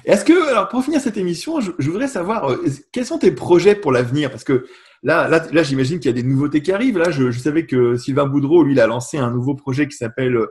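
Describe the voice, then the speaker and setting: A man's voice: fairly high pitch, lively intonation, quick pace, a little mellow. A man hosting an interview, asking questions with some hesitation and many fillers. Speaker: interview host.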